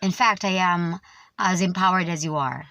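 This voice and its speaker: A woman's voice: fairly low pitch, moderate pace, pushed and bright, no room sound. A woman teacher speaking earnestly, with a hurt tone.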